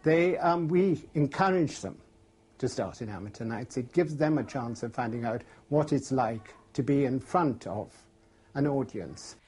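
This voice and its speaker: A man speaking as an agent in an interview, measured and slightly formal. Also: mid-range voice, lively intonation, a little mellow, husky. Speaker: stripper agent.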